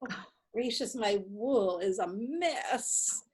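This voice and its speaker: A woman's voice: mid-range voice, lively intonation, slow, a little mellow, slightly rough. A woman reading the part of a kindly, flustered old lady who knits and rambles through her story. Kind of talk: readers theatre reading.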